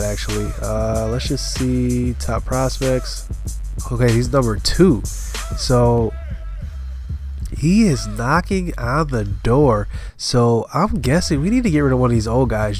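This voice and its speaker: A man with a stuffy, slightly congested voice talks through his game at an easy, mid-pitched level. He thinks aloud as he goes, filling pauses with "uh", while soft music plays faintly underneath. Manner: thinking aloud, relaxed, many fillers. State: stuffy, recovering from a cold. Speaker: gaming channel host. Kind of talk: casual gameplay commentary.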